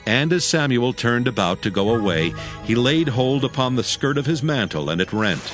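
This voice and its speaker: A man's voice: mid-range voice, sing-song, normal speed, fairly bright tone, soft background music. A man narrating a dramatized scripture reading in a scripted storytelling style.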